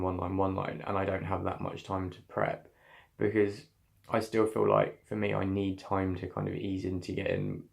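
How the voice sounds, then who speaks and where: A young man, an acting student, reflecting on his voice work in a scene, talking thoughtfully with many restarts. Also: gravelly. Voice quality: slightly deep voice.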